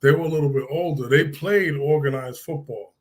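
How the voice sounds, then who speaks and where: A man in his sixties from Hartford, Connecticut, telling stories as an interview guest in a relaxed, rambling way with many restarts. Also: fairly high pitch, a little fast. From Hartford, Connecticut, USA. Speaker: guest, former football player. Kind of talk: casual interview storytelling.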